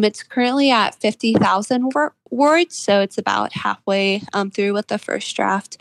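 A woman of about twenty speaks as an interview guest, reflective and earnest. Her voice sits at a middling pitch for a woman. The sound is very dry, with no room around it. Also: no echo. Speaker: interview guest, fiction author. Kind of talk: interview answers, reflective conversation.

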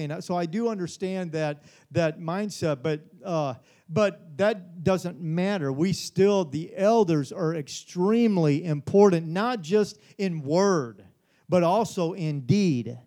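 A man's voice: on the high side, measured pace, dark tone. A man in his forties, a pastor giving a Bible study sermon, earnest and repetitive, often asking the congregation "Amen?". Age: 40s. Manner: earnest, repeats words, frequent "Amen?".

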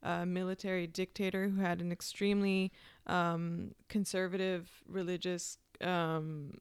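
Woman from Pakistan, very clear voice. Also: lowish voice, level tone, unhurried, no echo, clean studio sound. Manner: thoughtful storytelling with frequent "um" and "like". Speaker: actor, interview guest. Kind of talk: casual interview conversation.

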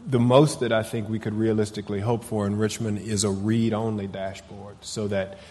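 Official, man, lowish voice, formal and deferential, long room reverb with faint hum. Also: flat delivery, darkish tone. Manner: measured, deferential, says yes ma'am. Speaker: city official answering council. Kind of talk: formal testimony at a public meeting.

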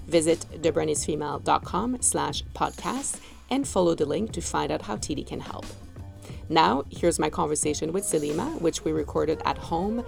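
A woman hosting a podcast, reading the sponsor message and asking slow, thoughtful questions. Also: sits low, gravelly. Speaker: podcast host. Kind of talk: interview hosting and sponsor read.

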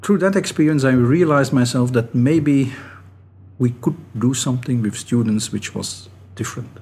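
A middle-aged man, a native Dutch speaker with a Dutch accent, giving thoughtful, halting answers as an academic guest in an interview. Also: medium pitch, a little mellow, slightly rough. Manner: thoughtful, halting, often restarting phrases. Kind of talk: reflective interview talk.

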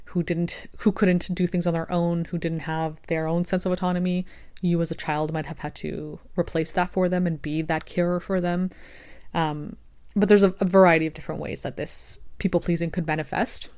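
A woman hosting a solo podcast, talking in a reflective, conversational way, her voice a little raspy. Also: fairly low pitch, a little mellow. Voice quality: slightly raspy. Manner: reflective, conversational, frequent fillers. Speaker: podcast host. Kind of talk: casual solo podcast monologue.

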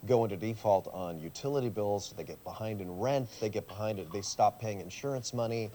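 A middle-aged man, a pastor, chatting in a casual interview in a church coffee shop, earnest when he explains and quick to laugh. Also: fairly low pitch, mellow. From USA.

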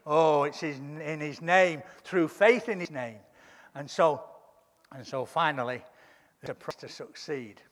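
A pastor preaching a sermon in a fervent way, his voice croaky from a cold. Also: fairly high pitch, a little slow, a bit bright, long reverb tail. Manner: fervent preaching, repeats words when building up.

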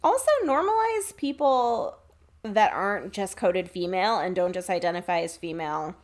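A woman's voice: lowish voice, animated, moderate pace. A young woman co-hosting a podcast in casual, sweary banter, irreverent and self-deprecating. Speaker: podcast co-host.

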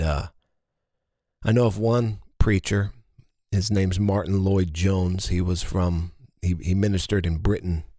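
A man speaking as a pastor in a casual podcast chat, with a deadpan, joking manner. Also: sits low, no room sound, clean studio sound.

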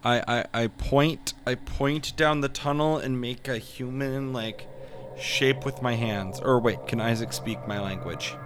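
A man's voice: mid-range voice, a little slow, fairly bright tone, a little hiss or hum. A man chatting casually as a player in a tabletop role-playing game.

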